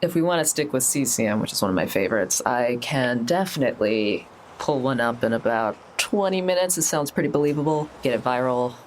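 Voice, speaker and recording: Man, tabletop player, casual role-play, lively swooping intonation, faint background noise. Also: mid-range voice, brisk, few pauses. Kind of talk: casual improvised in-character talk.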